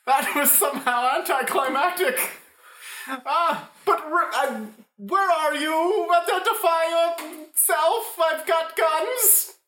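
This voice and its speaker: Woman doing a muddled, literal-minded cop in a comedy radio play, high voice, echoey room. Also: slow pace, pushed and bright, exaggerated voice. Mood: confused, blustering. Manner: confused, literal-minded, rambling. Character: bumbling, heavily armed, scatterbrained police detective. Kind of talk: improvised comic radio play. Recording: roomy, echoing room sound.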